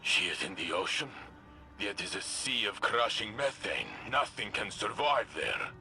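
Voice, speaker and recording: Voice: low voice, expressive, unhurried, sharp tone, soft background music, heavily acted. An actor playing a fierce, blunt warrior soldier in a video game, speaking in intense, formal, clipped statements. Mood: grim. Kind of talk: dramatic video game voice acting.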